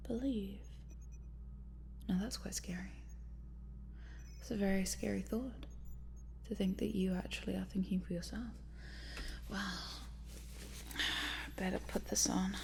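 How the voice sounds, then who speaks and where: A woman playing a quirky, lonely scientist in a whispered ASMR roleplay, softly muttering to herself as she tinkers. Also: medium pitch, vocal fry, a little hiss or hum, natural voice. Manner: soft, hesitant, muttering to herself. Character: quirky lonely female scientist repairing a robot in her lab.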